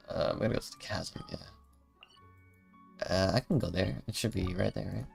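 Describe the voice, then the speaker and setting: Casting: casual game livestreamer, gravelly fry, mid pitch, rambling and unsure, game music underneath. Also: normal speed, a little mellow. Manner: hesitant, meandering, mumbled asides. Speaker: game streamer.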